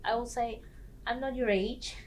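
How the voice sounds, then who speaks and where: A woman's voice: fairly high pitch, normal speed, a little hiss or hum. Woman hosting a chat. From Guatemala. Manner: animated, laughs often, searches for words. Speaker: host. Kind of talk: casual discussion.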